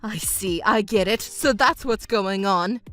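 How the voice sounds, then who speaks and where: A woman voicing a composed young stepdaughter who speaks in a calm, cold, measured way. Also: medium pitch, faint music behind, natural voice. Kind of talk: narrated drama dub.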